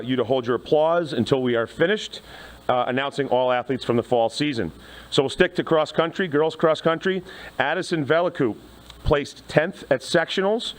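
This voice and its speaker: A man with a loud voice, an athletics director announcing student awards into a podium microphone in a crowded meeting room. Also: medium pitch. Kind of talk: public address at a board meeting.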